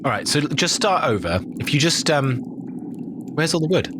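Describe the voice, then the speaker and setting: A man's voice: a little high, sing-song, normal speed. A man playing a tabletop role-playing game, improvising in casual conversation. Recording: clear background noise, room ambience.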